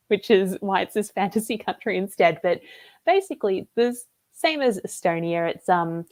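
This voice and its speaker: A woman with an Australian accent, a debut novelist talking about her book in a relaxed, chatty live interview over a video call. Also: medium pitch, normal speed. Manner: chatty and relaxed, with frequent "like" and "um" fillers.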